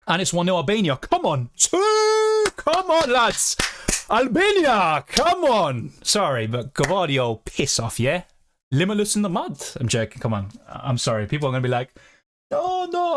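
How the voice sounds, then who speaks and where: A young man chatting casually on a live stream, rambling and reading out chat messages. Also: medium pitch. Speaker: fantasy football streamer.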